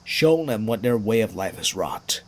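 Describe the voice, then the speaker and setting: A man's voice: on the low side, very animated, measured pace. A man playing a resolute, persuasive robot civilian leader in an audio drama, earnest and oratorical. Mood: firm, persuasive.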